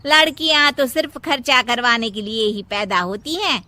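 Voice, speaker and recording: A woman's voice: a little high, normal speed, bright, forceful tone. A woman voicing a melodramatic, scolding older mother-in-law in a cartoon moral story. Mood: bitter scolding. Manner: scolding, dramatic wailing and complaining. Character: nagging, melodramatic older mother-in-law obsessed with having a grandson.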